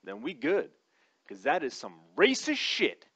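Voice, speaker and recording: A man's voice: on the high side, huge pitch swings, unhurried, a bit bright, slightly rough, lo-fi sound. A man giving a conversational comic opinion monologue in an informal, wry, sometimes profane way. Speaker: comedic commentator.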